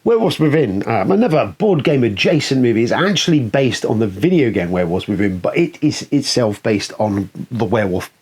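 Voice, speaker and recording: A man's voice: mid-range voice, very animated, brisk, few pauses, a little mellow, husky. A man hosting a casual, chatty film countdown in a rambling, sweary, enthusiastic way. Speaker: host of a board game film review show.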